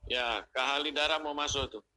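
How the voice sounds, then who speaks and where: A man's voice: medium pitch, moderate pace, bright, forceful tone. A man co-hosting a casual online chat, often repeating words and short phrases. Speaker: co-host of an online discussion room. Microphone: online group call. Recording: clearly noisy online call audio.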